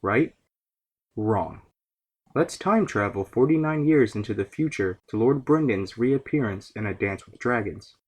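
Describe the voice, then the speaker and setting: A man hosting a fantasy lore series, reading scripted narration in an expository, measured manner. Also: on the low side, animated, slightly rough.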